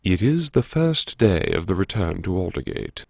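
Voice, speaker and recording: A man narrating an audiobook in the first person, dramatically, with a brooding, wry and deliberate delivery. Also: low-pitched, sing-song, creaky, darkish tone, breathy edge.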